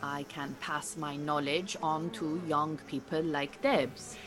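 Low-voiced, earnest refugee woman. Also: fairly even intonation, noisy background. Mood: polite, guarded. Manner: measured, formal, precise wording. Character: earnest, proud Iranian refugee woman, a beekeeping mentor. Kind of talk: naturalistic audio drama acting.

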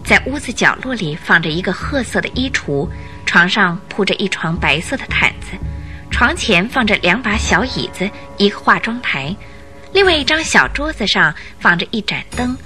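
Animated, bright-voiced woman narrating suspense. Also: mid-range voice, moderate pace, soft background music. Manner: suspenseful, expressive storytelling. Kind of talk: dramatic scripted narration of a detective radio drama.

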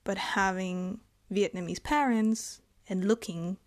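A young woman from Germany, speaking in a reflective personal vlog, thoughtful and hesitant, with halting phrasing. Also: medium pitch, steady tone. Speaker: vlogger.

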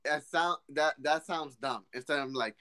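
A man in his twenties co-hosting a casual podcast chat, rambling and restarting his sentences, getting excited. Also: on the high side, normal speed. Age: 20s. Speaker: podcast co-host.

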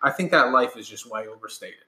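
A man, a corporate trainer, talking in a casual interview with a loud, high-energy, projecting voice. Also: animated, a little fast. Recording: echoey room.

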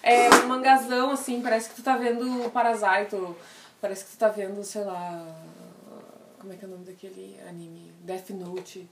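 A woman's voice: medium pitch, level tone, moderate pace, distant mic, slight background noise. A woman co-hosting a casual podcast chat, slangy and rambling, laughing often. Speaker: podcast co-host. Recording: roomy, off-mic.

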